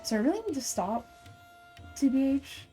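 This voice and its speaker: A woman's voice: high-pitched, big pitch swings, normal speed, mellow, soft background music. A woman giving casual, off-the-cuff commentary while playing a video game. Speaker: gaming video creator.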